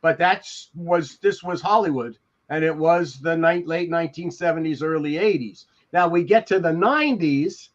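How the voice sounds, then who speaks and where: An older man talks casually, telling stories in a halting, meandering way. His voice sits a little high for a man. The sound is dull and lo-fi, as on a video call. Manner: halting, rambling storyteller. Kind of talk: casual reminiscing. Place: indoor room on a video call.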